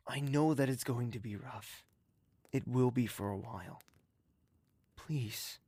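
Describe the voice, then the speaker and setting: Gentle courtly man, dry sound. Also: mid-range voice, vocal fry, breathy edge, dry recording. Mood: worried, pleading. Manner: soft, earnest, reassuring, formal. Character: gentle, courtly, protective confidant with a wounded past. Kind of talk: earnest audio drama acting.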